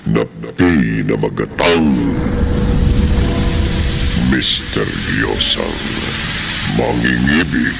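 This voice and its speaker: A man announcing a horror radio drama in a slow, ominous, theatrical style with drawn-out delivery, over music. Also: very deep voice, lively intonation, vocal fry.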